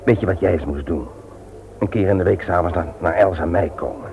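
An actor in a radio play, playing an earnest family man who speaks frankly and in a measured way. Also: low-pitched. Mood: encouraging. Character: Willem, earnest frank friend, husband and doting father. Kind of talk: Dutch radio play acting. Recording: tinny, noisy old radio play recording.

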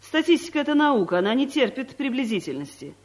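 A woman's voice: sits high, sing-song, quick pace. A stern woman director. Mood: lecturing. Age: middle-aged. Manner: stern, curt, lecturing. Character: domineering middle-aged woman office director. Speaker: office director. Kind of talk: 1970s studio radio comedy acting.

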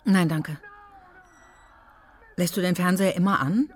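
Worried woman, husky voice. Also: fairly low pitch, a little slow. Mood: polite, uneasy. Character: worried, direct small-town woman, polite but uneasy. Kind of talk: radio crime drama acting.

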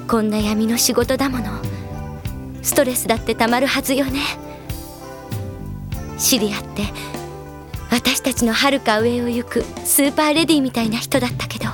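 An actress playing a caring, brisk young woman who is a loyal teammate, coaxing and animated in a Japanese anime audio drama. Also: high voice. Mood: worried.

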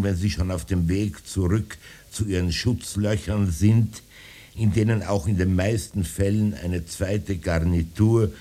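An old man delivering a radio-play monologue in a dark, mellow, fairly low voice. He unspools long sentences very slowly and evenly measured, treating his absurd apocalyptic visions as dry matter of fact.

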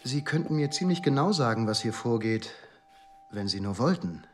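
A man's voice: medium pitch, lively intonation, normal speed, lightly acted. A man playing a formal, insistent police inspector who questions in a clipped way in a radio crime drama.

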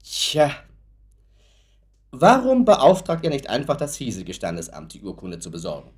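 An actor playing a sober, patronizing lawyer in a German radio play, speaking formally and in a matter-of-fact, measured way. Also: male voice, mid-range voice, wildly swooping pitch, darkish tone. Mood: probing, dry. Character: sober, skeptical male lawyer, brisk and patronizing.

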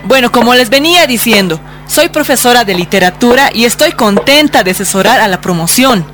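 A woman playing a formal, admonishing schoolteacher in her thirties in a radio drama, speaking in measured phrases. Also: on the high side, animated, close to an everyday voice. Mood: formal, earnest. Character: earnest 35-year-old schoolteacher addressing an unruly class. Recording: music and classroom chatter behind.

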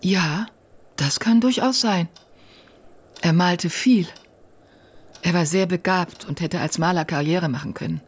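An actress playing a subdued, wistful sister in a radio drama, husky, hesitant and deliberate. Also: female voice, fairly low pitch. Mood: wistful, guarded.

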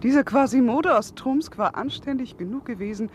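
An actress playing a candid, gravely ironic female confidante, speaking pointedly and dramatically in a 1960s radio play. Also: fairly high pitch, brisk, lightly acted. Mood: pointed irony. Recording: noisy vintage 1960 radio recording.

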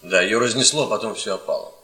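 An actor playing a restrained, self-regarding young academic husband in a radio play, with a stiff, polite, measured manner. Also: male voice, lowish voice, noisy background, natural voice. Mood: confiding. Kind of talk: theatrical radio play acting. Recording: echoey room radio theatre recording.

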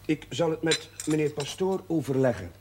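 Brief: actor as a calm Dutch priest, measured and gently stern, lively pitch. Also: male voice, slight background noise, natural voice. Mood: patient. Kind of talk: 1970s TV drama acting.

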